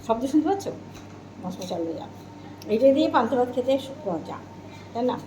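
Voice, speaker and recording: A woman's voice: on the high side, very animated, fairly quick, dark tone. A woman vlogging casually at home, talking to viewers, repeating her phrases and asking them questions. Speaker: home cooking and eating vlogger. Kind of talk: casual chatty vlog talk to viewers. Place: indoors at home. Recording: echoey room with clear background noise.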